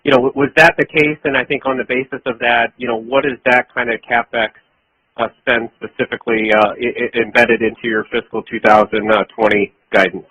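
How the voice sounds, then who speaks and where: A man's voice: medium pitch, flat delivery, fairly quick, bright, forceful tone, husky, no echo, low-quality recording. A man, a financial analyst, asking questions on an earnings call with hesitant, stammered repeats.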